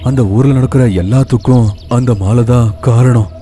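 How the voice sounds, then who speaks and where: A man playing a poor, regretful young husband who tells his tale in a measured, earnest way for an animated bedtime story. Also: mid-range voice, soft background music, natural voice.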